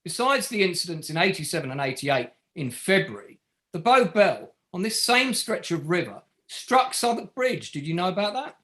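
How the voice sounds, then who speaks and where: An actor playing a relentless barrister who cross-examines with pointed, sardonic questions in a courtroom drama table read. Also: male voice, sits high, very animated, moderate pace, pushed and bright, exaggerated voice. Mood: pressing, sceptical.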